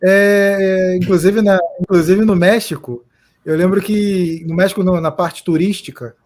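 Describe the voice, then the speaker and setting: Brazilian guy hosting a live stream, high voice, chatty, reads comments out. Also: steady tone, measured pace, darkish tone. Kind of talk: casual live chat.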